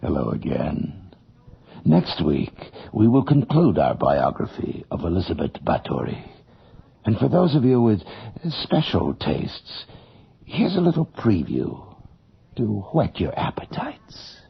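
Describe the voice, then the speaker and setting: An actor playing a sardonic, sinister radio horror host, with a husky, gravelly voice and a theatrical, menacing manner. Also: male voice, low voice, huge pitch swings, full character voice. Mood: sinister relish. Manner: slow, theatrical, savouring menace. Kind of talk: 1980s radio horror hosting. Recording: dull radio recording.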